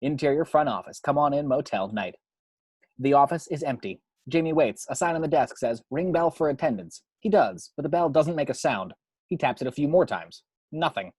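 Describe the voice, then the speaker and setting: A man's voice: a little high, expressive, moderate pace, darkish tone, slightly rough, dry recording. A man reading screenplay action and scene headings as narrator for a table read at home. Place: at home, dry close recording.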